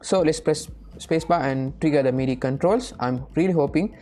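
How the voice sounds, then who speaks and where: A woman presenting a casual step-by-step software tutorial in a hesitant way, often checking that the listener follows. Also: low-pitched, normal speed, soft background music.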